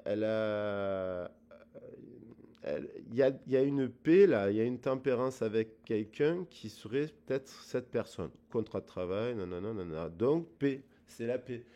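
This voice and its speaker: A man giving a casual tarot reading, rambling with many pauses and fillers. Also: lowish voice, dark tone, muffled recording. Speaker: tarot reader.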